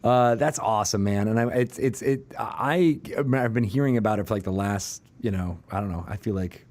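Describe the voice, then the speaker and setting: Male host, lower register, informal and bantering, rambling delivery for a chat interview. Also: a little mellow. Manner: rambling, halting phrasing. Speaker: podcast host.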